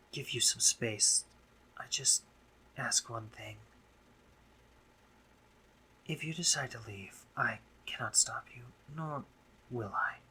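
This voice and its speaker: Man acting a slow-spoken, brooding spider monster. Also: mid-range voice, sharp tone, a little hiss or hum, poor audio. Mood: subdued, sorrowful. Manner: formal, sardonic, halting phrasing with sighs and pauses. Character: brooding, sardonic male drider monster, a guarded, caring doctor. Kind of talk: intimate roleplay audio drama monologue.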